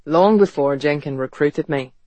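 A man voicing a guarded, gruff, plain-spoken male character in a period audiobook. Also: fairly high pitch, moderate pace, a little mellow, no echo, lightly acted. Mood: grave. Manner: gruff, terse, wary.

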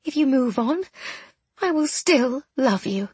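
A woman voicing a tender, grieving young woman in an audiobook, speaking in a hushed, emotional way. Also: high voice, lively intonation, measured pace, breathy edge, no echo, pitched above the performer's own voice, full character voice. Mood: tender, resolute. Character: tender, grieving young woman consoling her best friend. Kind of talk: audiobook character dialogue.

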